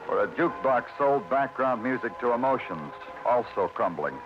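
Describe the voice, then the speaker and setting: A man's voice: mid-range voice, expressive, moderate pace, lightly acted. Guy as a world-weary american noir detective, terse and moody, vintage radio sound, muffled, music behind him. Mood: brooding. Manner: clipped, brooding, hard-boiled. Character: terse, world-weary New York police detective narrating his case. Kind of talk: 1940s noir radio drama, moody scripted narration.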